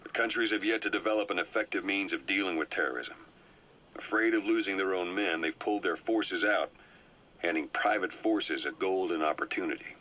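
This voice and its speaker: An actor playing a calm, well-informed agent who briefs a comrade in a measured, explanatory way. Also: male voice, sits low. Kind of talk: video game cutscene voice acting. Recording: muffled cassette tape audio.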